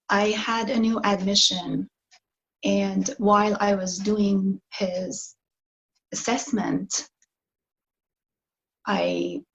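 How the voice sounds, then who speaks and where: A woman from Iran, a nurse, being interviewed over a video call and answering slowly and thoughtfully, with pauses and fillers. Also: medium pitch, lo-fi sound.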